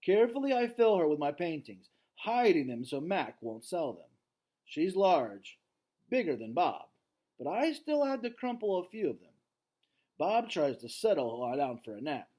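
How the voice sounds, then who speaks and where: A man's voice: a little high, big pitch swings, moderate pace, warm tone. Casual man teaching a read-aloud lesson. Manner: conversational, checks in with "right?". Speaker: teacher reading aloud to students.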